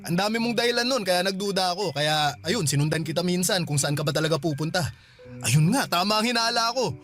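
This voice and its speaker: A man's voice: high voice, animated, fairly quick, fairly bright tone, faint music behind. A man playing a teasing but earnest older brother in a Filipino radio drama, talking in a coaxing way. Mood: teasing, amused.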